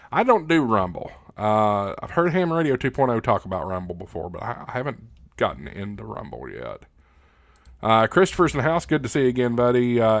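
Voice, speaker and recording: A man's voice: fairly low pitch, no room sound, studio quality. A man hosting a casual live Q&A, reading out viewer comments and answering in a folksy, plain-spoken way. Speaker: livestream host.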